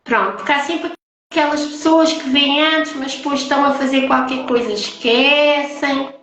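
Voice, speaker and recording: High-voiced Portuguese woman in an echoey room. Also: fairly even intonation, moderate pace, a bit bright. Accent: European Portuguese. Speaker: host, holistic therapist. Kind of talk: casual live-stream chat. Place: echoey indoor room, online live call. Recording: very echoey room, live stream.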